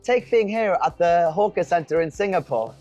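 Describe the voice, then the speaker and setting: A woman's voice: fairly low pitch, animated, moderate pace, warm tone, faint music behind. A woman from North Wales, hosting and telling candid, self-deprecating stories at an open-air food centre. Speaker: host. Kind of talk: scripted conversational presenting.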